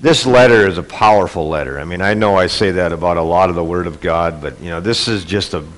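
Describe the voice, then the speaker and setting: A man's voice: low voice, quick pace, poor audio. A man preaching a sermon, congested from a cold, earnest and building in intensity. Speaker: pastor. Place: church.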